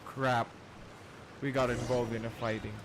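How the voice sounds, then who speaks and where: A man's voice: medium pitch, flat delivery, unhurried. A man giving casual reactions as a streamer playing a game.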